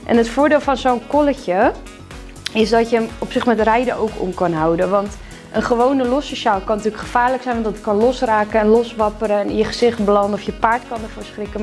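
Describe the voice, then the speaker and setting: A chatty young woman with a fairly high voice talks through her favourite winter gear in a casual, upbeat way, filling gaps with little ums. She records at home on a camera mic, with faint music playing underneath. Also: darkish tone. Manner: chatty, upbeat, lots of filler words. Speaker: equestrian shop presenter. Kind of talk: casual product lookbook talk. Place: indoors at home with plants behind.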